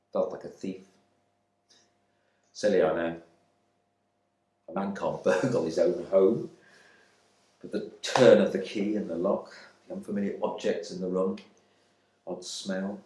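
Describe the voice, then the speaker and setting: A man playing a bitter, stiff husband back from war in a dramatic monologue, with clipped, halting, disdainful phrasing. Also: low voice, warm tone. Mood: indignant, furtive. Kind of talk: dramatic monologue reading from a novel. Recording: roomy indoor echo.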